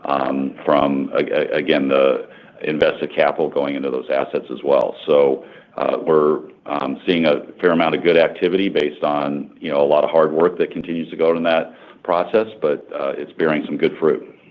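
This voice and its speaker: A man speaking as a company executive, answering questions on an earnings call in halting, wordy corporate phrasing over conference call audio. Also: rumbling low, flat delivery. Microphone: conference call line. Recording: dull, band-limited conference call audio.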